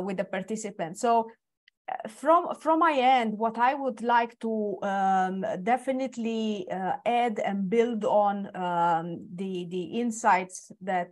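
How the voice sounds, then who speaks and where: A woman in her forties from Romania speaking on a webinar panel, halting and restarting often. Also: mid-range voice, darkish tone. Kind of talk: presentation talk.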